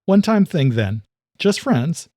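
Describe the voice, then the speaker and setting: A man's voice: on the high side, big pitch swings, measured pace, warm tone, dry recording, clean studio sound, voice pushed up. Man acting a hearty, fatherly veteran cop. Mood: teasing, encouraging. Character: fatherly veteran policeman, hearty, proud, gently prodding.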